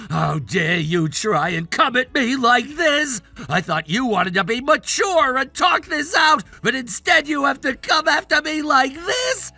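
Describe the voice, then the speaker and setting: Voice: sits high, vocal fry, bright, forceful tone, no echo, heavily acted. A performer voicing a spoiled, entitled young adult son in a melodramatic story, whiny and indignant. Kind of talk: melodramatic narrated story dialogue.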